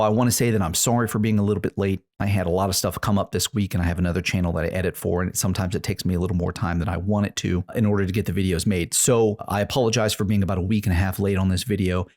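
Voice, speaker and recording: A man's voice: on the low side, a little mellow. A man hosting his own video channel, talking in an earnest, nostalgic way that leans on repeated phrases. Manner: earnest, nostalgic, repetitive emphasis. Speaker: video essay host. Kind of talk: reflective commentary to camera.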